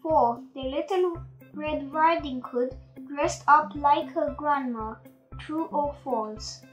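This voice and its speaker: A woman reading a children's bedtime story aloud with slow, deliberate enunciation and hosting a quiz on it. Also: sits high, lo-fi sound, faint music behind.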